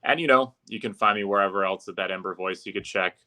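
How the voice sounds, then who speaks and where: A man's voice: lowish voice, fast, sharp tone. A man who works as an announcer, chatting informally and fluently as a podcast guest. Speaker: podcast guest, arena public address announcer. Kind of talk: casual interview chat.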